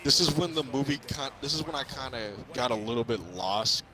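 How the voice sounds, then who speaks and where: A man casually chatting through a movie review, haltingly, often repeating and restarting his phrases. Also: mid-range voice. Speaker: video host. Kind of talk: casual chat, movie review over a video game. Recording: basketball game crowd and bouncing behind. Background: basketball video game crowd and ball bounces.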